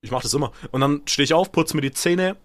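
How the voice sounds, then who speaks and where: A man's voice: fairly high pitch, quick pace, no echo. Young man in slangy casual banter. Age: young adult.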